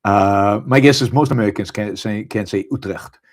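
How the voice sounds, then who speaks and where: A middle-aged man with an Israeli accent, hosting a live show in a casual, halting monologue with many fillers and restarts. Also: on the low side. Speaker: podcast host. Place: abroad, speaking on a live stream.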